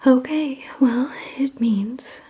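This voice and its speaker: A woman whispering breathily in an ASMR roleplay, giving instructions deliberately and repeating words with pauses, close to the microphone. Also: high voice, mellow. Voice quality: breathy whisper, mostly unvoiced. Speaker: ASMR creator leading a word-guessing game.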